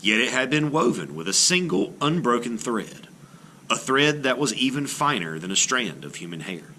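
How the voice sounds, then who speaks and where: A man reading a children's story aloud in an expressive, theatrical style, like a teacher to a class. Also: medium pitch, moderate pace, a little hiss or hum. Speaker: art teacher reading a picture book aloud.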